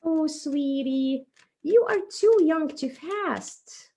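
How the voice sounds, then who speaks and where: A woman voicing a gentle, caring mother puppet in a tender, coaxing way. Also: high-pitched, expressive, extremely slow, pitched above the performer's own voice, heavily acted. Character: gentle, caring mother puppet guiding her young son.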